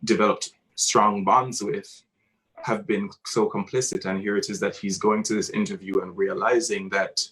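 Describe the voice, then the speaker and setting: Earnest Jamaican man, low even voice. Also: rough recording. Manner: earnest, halting, emotional. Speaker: theatre director. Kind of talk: reflective artist talk.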